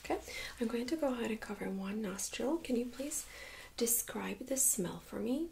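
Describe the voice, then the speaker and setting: A woman speaking in a soft, breathy near-whisper, gently giving instructions as a medical examiner in an ASMR role-play. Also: on the high side, animated, normal speed, a little hiss or hum. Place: small room indoors.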